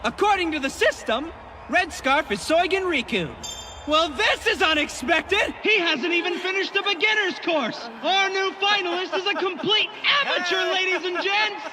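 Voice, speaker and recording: Very high-pitched, bombastic match announcer. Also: male voice, lively intonation, moderate pace, background noise. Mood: excited. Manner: bombastic, shouted delivery. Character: excitable male tournament announcer calling a wild match. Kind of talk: play-by-play commentary in anime dub.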